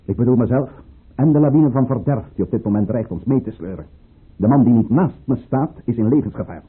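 An actor playing a ruthless, fervent count who leads a conspiracy, with declamatory, commanding delivery in a Dutch radio play. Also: male voice, mid-range voice, lively intonation, fairly quick, slightly rough. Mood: fervent, forceful. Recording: muffled, narrow-band old radio recording.

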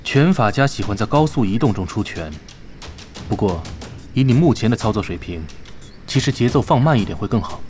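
A man acting as a gaming team's vice-captain in an audio drama. His voice is on the low side, composed and exacting, with terse, measured phrasing. Faint music runs underneath. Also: lively intonation, a little mellow, lightly acted. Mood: analytical. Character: calm, precise male vice-captain of a gaming team.

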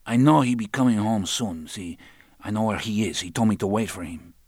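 A man's voice: fairly low pitch, a little mellow, no room sound, studio quality. A man doing a talkative hustling young guy. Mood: coaxing. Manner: quick, coaxing, street-style phrasing.

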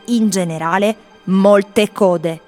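Actress playing a tourist, woman, radio theatre monologue, mid pitch, music behind. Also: slow, natural voice. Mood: complaining. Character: composite of tourists recounting their stay in Rome. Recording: music under the voice.

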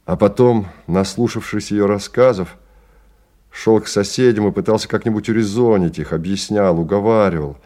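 A man narrating a literary radio drama in a measured storytelling manner. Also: on the low side, dark tone, close to an everyday voice.

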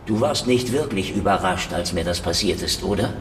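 An actor playing a cynical, wisecracking investigator in a German radio play, sardonic and needling in manner. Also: male voice, sits low, moderate pace, a little hiss or hum. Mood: suspicious. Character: cynical, wisecracking hard-boiled male investigator in Soviet Union.

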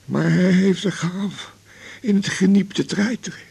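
A gravelly, stammering old man. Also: high-pitched, a little mellow, exaggerated voice. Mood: bitter. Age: 80s. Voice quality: gravelly, husky. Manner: halting, stammering, repeating words. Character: bitter, stubborn old man weary of life. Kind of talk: naturalistic radio drama acting.

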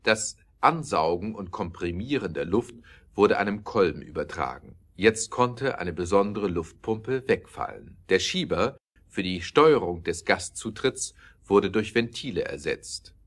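A man narrating an audio drama with scripted, expressive storytelling delivery. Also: low voice, measured pace.

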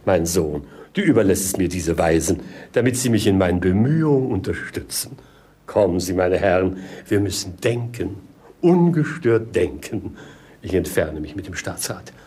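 An actor playing a muddled, pompous and sentimental old king in a 1950s German radio play, theatrical and husky. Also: sits low, lively intonation, moderate pace, warm tone. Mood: moved, self-important. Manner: pompous, theatrical, abruptly swooping between musing and exclaiming.

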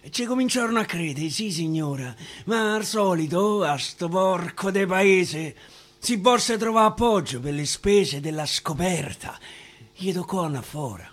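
Roman male actor, high husky voice, unhurried theatrical storytelling in verse. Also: expressive, fairly bright tone. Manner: theatrical storyteller, dramatic pauses. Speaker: stage actor. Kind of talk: dialect verse monologue performance.

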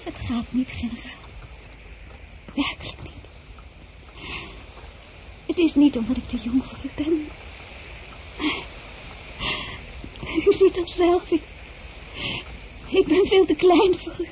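Fragile lovesick teenage girl, very high voice, slow halting speech, radio play, faint noise behind. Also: expressive, mellow, low-quality recording. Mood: distressed, pleading. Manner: halting, repeating words. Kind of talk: radio drama acting.

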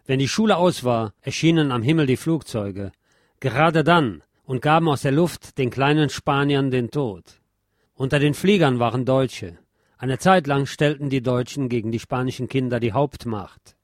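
A man with a deep voice reading a scripted essay aloud in a measured, formal, literary manner. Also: no echo, studio quality. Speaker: host reading essays on history.

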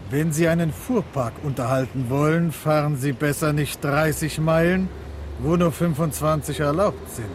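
Curt, higher-voiced actor as a hostile policeman. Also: moderate pace, lightly acted. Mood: curt, officious. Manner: curt, officious. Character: bossy, hostile traffic policeman. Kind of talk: radio drama acting. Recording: clear outdoor street and vehicle noise. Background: traffic noise.

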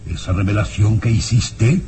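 An actor playing a stern, quick-tempered baron and father in a melodramatic radio serial. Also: on the low side, steady tone, noisy background, natural voice. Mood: stern, indignant. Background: dramatic music.

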